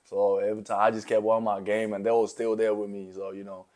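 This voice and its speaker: Young man, from South Sudan, low voice, flat delivery, relaxed, lots of fillers, college athlete interviewee. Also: quick pace. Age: young adult. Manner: laid-back, many fillers like you know and um. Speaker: college basketball player guest. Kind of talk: casual interview answers.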